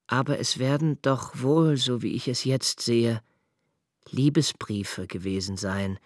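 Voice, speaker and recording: Guy voicing a brooding young poet in a radio play, slow hushed musing, dry close sound. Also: medium pitch, dry recording, lightly acted. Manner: slow, hushed and reflective, dropping to near whispers. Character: young nobleman Malte, brooding poetic narrator. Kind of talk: literary radio play narration.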